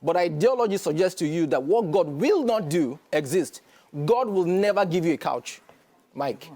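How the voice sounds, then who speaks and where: Nigerian male guest, high-pitched, mellow tone, rapid and preachy delivery. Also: expressive. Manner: emphatic, lecturing, keeps asking "do you understand?". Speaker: guest commentator. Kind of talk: studio interview.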